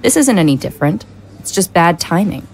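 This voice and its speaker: A woman acting as a shrewd female deputy to a ruler, with a low voice. She briefs in a hard-nosed, businesslike way, as in a political radio play. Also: very animated, a little fast. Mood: measured. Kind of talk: audio drama acting.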